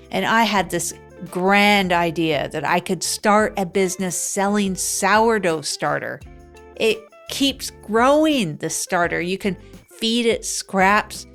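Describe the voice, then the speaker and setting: A woman narrating as a wry, down-and-out woman in an improvised comedy audio drama, rambling deadpan with self-mocking asides. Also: medium pitch. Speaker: narrator of the show. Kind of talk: improvised comic first-person narration.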